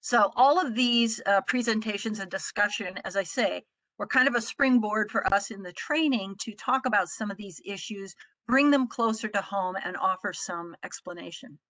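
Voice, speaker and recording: A middle-aged American woman from the Midwest giving an explanatory presentation as a trainer, speaking in a measured, articulate way. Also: mid-range voice, a bit bright, dry recording, poor audio. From Midwestern United States. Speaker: trainer presenting on a conference call.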